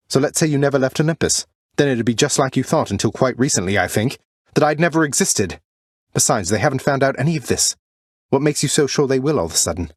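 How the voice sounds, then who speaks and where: A man playing an earnest, defiant young prince of the underworld in a video game, speaking in formal, old-fashioned wording with halting, heartfelt delivery. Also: mid-range voice, dry recording, studio quality, natural voice. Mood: hurt, pleading. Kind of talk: video game character dialogue, dramatic acting.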